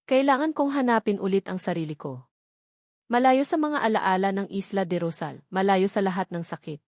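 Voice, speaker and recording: A Filipino woman voicing a gentle, wounded young woman in a romantic audio drama, earnest and halting. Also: medium pitch, no room sound, studio quality, close to an everyday voice. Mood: pained, resolved.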